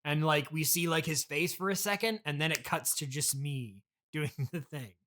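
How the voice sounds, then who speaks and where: A man plays a trillionaire's entitled son on an improvised comedy podcast. The voice sits a little high and sounds bright and sharp. He is whiny and blunt, making demands as if owed everything. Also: moderate pace, slight background noise. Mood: entitled, excited. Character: spoiled, entitled son of a trillionaire CEO, a streamer.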